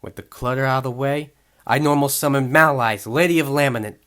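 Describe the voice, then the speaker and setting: A man's voice: mid-range voice, fairly quick, a bit bright, close to an everyday voice. Man voicing a menacing, sneering villain duelist. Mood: sinister, gloating. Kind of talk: fan audio drama acting.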